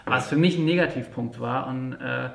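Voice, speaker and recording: A man of average pitch talks in a casual discussion about film, recorded in a room with obvious echo. He feels his way through sentences, hesitating and rephrasing as he goes. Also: moderate pace, creaky, a bit bright, a little hiss or hum. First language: German. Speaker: podcast co-host, English teacher. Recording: echoey room sound.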